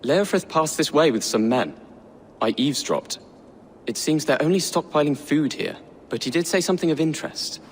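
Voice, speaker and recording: A young actor playing an earnest, anxious young Saxon prince who speaks in a hurried, unsure way, in a video game cutscene. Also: male voice, on the high side, animated, slight background noise, close to an everyday voice. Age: young adult.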